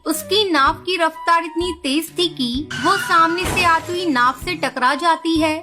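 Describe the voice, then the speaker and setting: Very high-pitched woman storyteller. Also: a little slow. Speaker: story narrator. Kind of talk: scripted children's story narration. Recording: music behind the voice.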